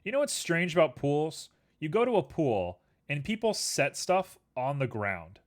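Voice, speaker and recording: A man's voice: a little high, measured pace. A young man streaming live, chatting casually and rambling to his viewers while building a 3D scene. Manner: relaxed, rambling, talks to chat and to objects on screen.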